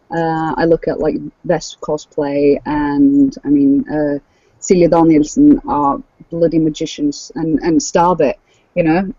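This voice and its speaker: A woman's voice: low voice, dark tone, dry recording. A woman co-hosting a casual podcast chat, explaining practical tips. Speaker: cosplayer and podcast co-host. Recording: dull lo-fi dry audio.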